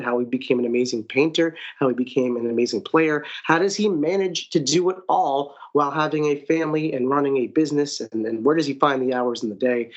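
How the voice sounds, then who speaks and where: A man hosting a podcast, talking in an excited, effusive way and repeating phrases for emphasis. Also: on the high side, lo-fi sound. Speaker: podcast host. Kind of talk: enthusiastic podcast chat.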